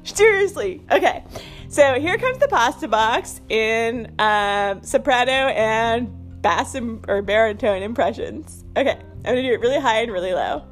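A woman's voice: on the high side, lively intonation, measured pace, faint music behind. Casting: female podcast host, casual and rambling, irreverent, self-amused delivery. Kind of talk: casual stream-of-consciousness chat.

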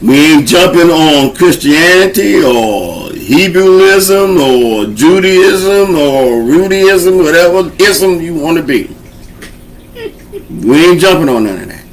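A man's voice: a little high, animated, slow, slight background noise, low-quality recording. A man hosting a show and preaching in a folksy, conversational way, urging his listeners along. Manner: folksy, conversational preaching with call-and-response encouragement. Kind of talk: informal sermon teaching.

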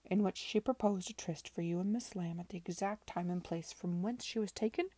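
A woman voicing a stern, suspicious gentleman guardian in a period story, curt and commanding. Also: lowish voice, fairly quick, no echo, natural voice. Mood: accusing.

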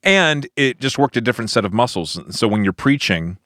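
A man's voice: medium pitch, lively intonation, fairly quick, a bit bright, dry recording, studio quality. A man speaking as a podcast host and pastor, in a casual, conversational way.

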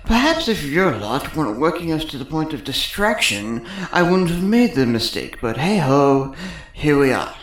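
A woman's voice: low-pitched, very animated, normal speed. A woman playing a cocky, sarcastic male electrical technician in a sci-fi comedy audio drama, smug and wordy. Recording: roomy echo.

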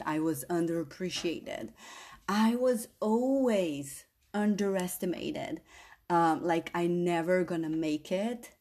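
Slow-talking woman host, English. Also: deep voice. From Slovenia. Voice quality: non-native English phrasing. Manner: earnest, rambling, tag questions. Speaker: podcast host and coach. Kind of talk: casual motivational monologue.

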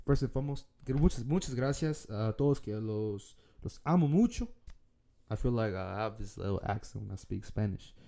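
A man with a raspy, allergy-worn voice talks through his solo podcast episode, the tone very dark and mellow. He rambles casually, circling back on himself with lots of fillers and restarts. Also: lowish voice, expressive, a little fast. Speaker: podcast host.

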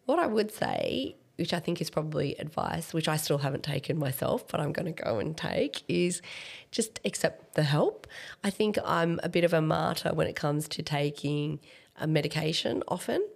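A woman chats easily as a podcast co-host, her voice low and gravelly. She sounds croaky from coughing, and she fills her talk with likes and ums and laughs often. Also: sing-song, moderate pace. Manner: chatty, laughs often, many fillers. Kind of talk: casual chat.

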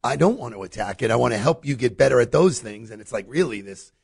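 A man's voice: medium pitch, lively intonation, quick pace, vocal fry, a little mellow, husky, no echo, clean studio sound. A man co-hosting a talk show who speaks in an earnest, reflective way. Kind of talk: casual chat.

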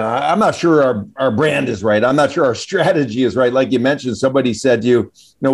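A man's voice: medium pitch, a little mellow. A man hosting an interview show, asking long rambling questions and praising his guest enthusiastically.

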